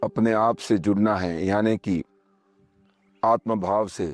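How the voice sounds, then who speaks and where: A man leading a guided meditation, speaking calmly and measuredly in short phrases with pauses, as slow instructional narration. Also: on the low side. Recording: voice over background music. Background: meditation music.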